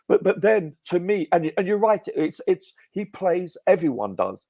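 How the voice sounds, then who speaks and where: A man's voice: on the high side, gravelly, mellow, no room sound. A man arguing emphatically and pedantically in a fan debate over a video call. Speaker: podcast panelist.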